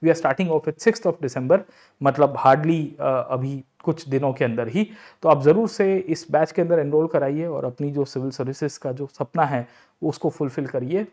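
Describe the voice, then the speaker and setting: An Indian man with a fairly high, soft and mellow voice works through exam questions like a coaching teacher. He explains point by point, talks directly to his students and often checks in with short tags. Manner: explanatory, addresses listeners as sir and brother, checks in with tag words. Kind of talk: lecture-style exam question analysis, Hindi mixed with English.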